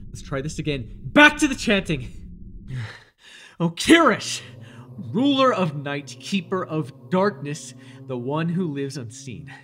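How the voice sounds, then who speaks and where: A man playing a fanatical, desperate cult leader devoted to a dark master, theatrical and intense, in an immersive audio roleplay. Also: a little high, full character voice. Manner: theatrical, intense, stammering when shaken.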